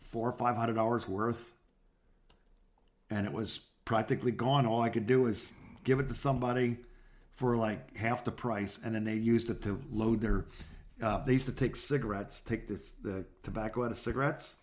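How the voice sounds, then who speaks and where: Halting older American man telling stories. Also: lowish voice, fairly even intonation. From South Florida, United States. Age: 60s. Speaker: podcast co-host. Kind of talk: casual phone chat, rambling personal anecdotes.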